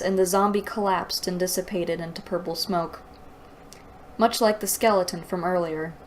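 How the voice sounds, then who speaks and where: A woman reads from a book and picks it apart as a reviewer. Her pace is unhurried and her tone is sarcastic and exasperated. A faint noise sits behind her voice in what sounds like a home recording. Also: mid-range voice. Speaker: host of a book review show. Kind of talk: mocking read-aloud book review with commentary.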